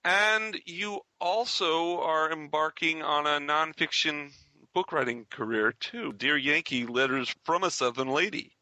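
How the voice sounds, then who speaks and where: Bright-voiced man hosting a fan podcast. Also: on the high side, measured pace, no room sound, rough recording. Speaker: podcast host.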